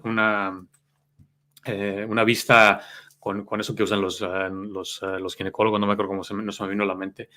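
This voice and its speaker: A man's voice: on the low side, a bit bright. A man with a Mexican accent giving a motivational self-help talk as a life coach, earnest and explanatory.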